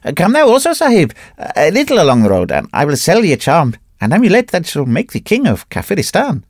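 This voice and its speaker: Guy doing a crazy shouting holy man, way up high and loud, all over the place. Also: animated, moderate pace, no room sound, clean studio sound. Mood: exultant. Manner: shouting, sing-song proclaiming. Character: wild ranting wandering holy man, feigning madness.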